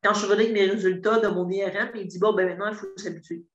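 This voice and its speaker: Québécois woman, fifties, telling her own story haltingly and sincerely; roomy, dull recording. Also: medium pitch, steady tone. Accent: Québécois French. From Quebec. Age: 50s. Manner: hesitant, many fillers and restarts, earnest. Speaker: program client giving a testimonial. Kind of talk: candid personal testimony. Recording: roomy echo, dull lo-fi sound.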